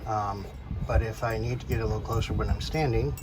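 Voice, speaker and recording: A man's voice: on the low side, fairly even intonation, fairly quick. A man hosting a DIY vlog, narrating in a casual style. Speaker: vlog host. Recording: clearly noisy ambient background.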